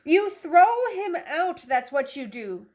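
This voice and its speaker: A woman teacher reading a picture book aloud to young children in an animated, instructive way, asking questions as she goes. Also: sits high. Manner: instructive, questioning, slow deliberate phrasing.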